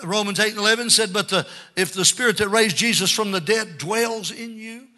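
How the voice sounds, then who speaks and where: An older male preacher with a high voice and a very sharp, forceful tone. He delivers a fervent Pentecostal sermon, exhorting the congregation and dropping frequent amens between his points. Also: a little fast. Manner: exhorting, punctuated with frequent amens and calls for response.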